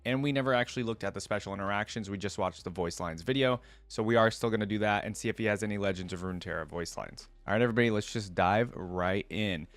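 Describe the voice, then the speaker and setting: Guy with a lowish voice doing a lore reaction, informal and a bit rambly, close dry sound. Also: fast, hardly any pauses, no room sound. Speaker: host of a game lore reaction channel. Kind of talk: casual reaction chat mixed with reading aloud.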